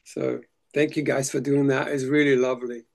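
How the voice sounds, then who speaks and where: A man whose first language is Slovak, leading a casual reading group chat and speaking haltingly, with frequent restarts. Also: mid-range voice, level tone, vocal fry, a little mellow. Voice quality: halting, many restarts and fillers.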